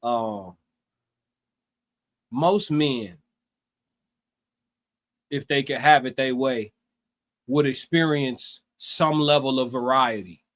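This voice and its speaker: A man co-hosting a casual live stream, talking in animated slang and repeating phrases for emphasis. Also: mid-range voice, slow, long pauses. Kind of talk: casual opinionated chat.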